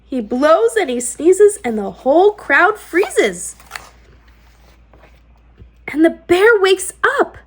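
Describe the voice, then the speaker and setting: A woman hosting a children's storytime, reading aloud in an expressive, sing-song way with deliberate rhythmic phrasing. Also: very high voice, slight background noise. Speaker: children's storytime host and read-aloud reader. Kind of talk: expressive picture-book read-aloud.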